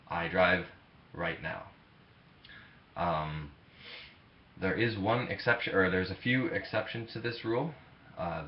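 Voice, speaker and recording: Man, twenties, very low voice, relaxed teaching with fillers, echoey room, dull webcam sound. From Canada. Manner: relaxed, halting explanation with fillers. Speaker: ESL teacher. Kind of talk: webcam grammar lesson. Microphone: webcam mic.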